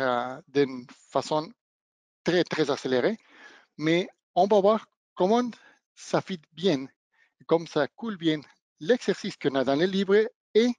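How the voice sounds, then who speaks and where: A man's voice: fairly high pitch, a little mellow, no echo. Man explaining in careful non-native French. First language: not French. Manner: halting, searching for words, non-native phrasing. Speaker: product trainer. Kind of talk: webinar product demonstration.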